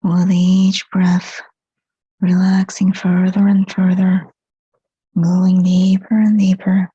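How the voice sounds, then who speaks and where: Whispery woman guiding meditation very slowly. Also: lowish voice, monotone, no echo. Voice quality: whispery, breathy, soft. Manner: slow, gentle, short phrases with long pauses. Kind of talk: guided meditation, hypnotic and soothing. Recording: dull, muffled lo-fi sound.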